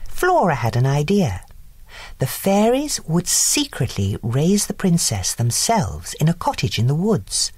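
A woman narrating a scripted bedtime story in an expressive, deliberate way. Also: sits low, husky, dry recording.

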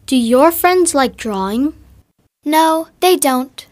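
A woman reading scripted dialogue for an English textbook recording, with clear, deliberate enunciation. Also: sits high, expressive. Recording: dry studio audio.

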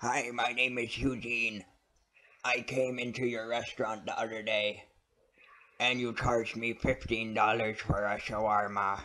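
A man's voice: mid-range voice, little pitch movement, unhurried, bright, forceful tone. A man voicing a confused, cranky old man who stammers and insists his way through a prank phone call. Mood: complaining. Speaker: prank caller. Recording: noisy lo-fi recorded phone prank.

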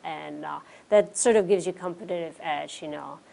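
Low-voiced Czech woman with gravelly edge. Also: normal speed. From Czech Republic. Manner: relaxed, many fillers like "you know" and "um". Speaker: interview guest, pro cyclist. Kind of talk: casual interview answers. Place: small studio with curtains, indoors.

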